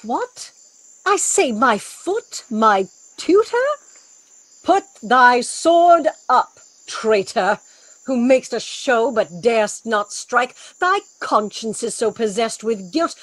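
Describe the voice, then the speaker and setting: A woman playing a stern, commanding sorceress mother in a Shakespearean audio drama, theatrical and sharp in rebuke. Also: a little high, big pitch swings, slow pace, no echo, full character voice, poor audio. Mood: stern, scolding.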